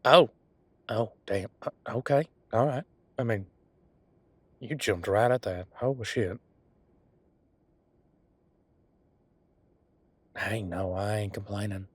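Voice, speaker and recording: A werewolf bodyguard as a man acts him out for an intimate roleplay audio. He speaks slowly in a low voice, easygoing and affectionate, with halting, folksy phrasing. The sound is dry and free of room. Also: slightly rough, no echo. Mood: flirty, teasing. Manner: casual, folksy, halting, teasing.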